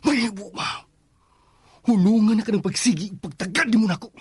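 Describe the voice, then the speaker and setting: A man playing a cold, dismissive young master in a radio drama, with a husky voice, curt scolding delivery and a Cebuano Filipino accent. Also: sits high, moderate pace, darkish tone, close to an everyday voice. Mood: cold, annoyed. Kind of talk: Philippine radio drama acting.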